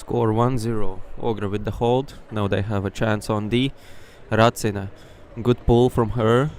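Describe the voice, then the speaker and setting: A man with a Latvian accent whose first language is Latvian, giving excitable, openly partisan live play-by-play commentary. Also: fairly low pitch, measured pace, a little hiss or hum. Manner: excitable, openly partisan, bursts into shouts on big plays. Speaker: sports commentator. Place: indoor sports hall.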